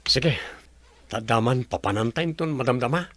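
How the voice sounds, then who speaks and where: An actor playing a nervous, sneaky young servant in a radio drama, hesitant and jittery. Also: male voice, mid-range voice, animated, moderate pace, a bit bright, natural voice. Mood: obliging.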